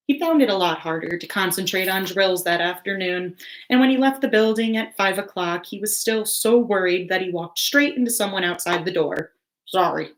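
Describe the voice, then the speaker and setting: Lady teacher reading a story out loud, expressive narration, mid-range voice. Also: mid-range voice, low-quality recording.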